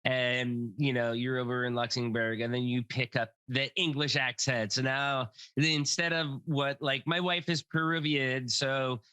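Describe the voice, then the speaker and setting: A man's voice: medium pitch, steady tone, measured pace, hardly any pauses, sharp tone, clear, resonant tone, no echo. A middle-aged man hosting a podcast, upbeat and jokey, chatting casually with a guest. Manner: upbeat, jokey, repeats himself. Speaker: podcast host. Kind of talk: casual interview chat.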